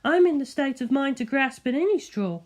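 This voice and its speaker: A narrator plays a composed, kind-hearted woman fighter in a storybook tale. The voice is pitched well up, light and measured as it explains things earnestly. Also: lively intonation. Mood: calm, earnest.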